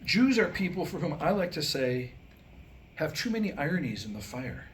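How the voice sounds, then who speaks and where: An American man with a slightly high voice delivers a comic monologue to a live audience. He is wry and self-deprecating, setting up lines deliberately and pausing for effect. The live recording carries faint background noise. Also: very animated. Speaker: writer and performer reading his own new comic script. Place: live staged reading before a small audience. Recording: noisy live room recording.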